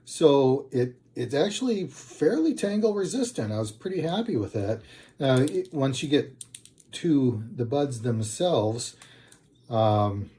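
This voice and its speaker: A man giving a casual, unscripted product review, speaking in a halting, hesitant way and often correcting himself. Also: medium pitch, very animated, dark tone. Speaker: product reviewer, channel host.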